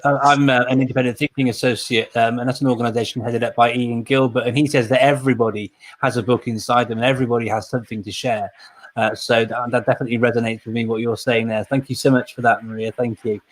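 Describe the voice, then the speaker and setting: A man's voice: mid-range voice, level tone, fast talker, no echo, low-quality recording. A man co-hosting an interview over a video call, polite and hesitant, using many fillers. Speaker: co-host.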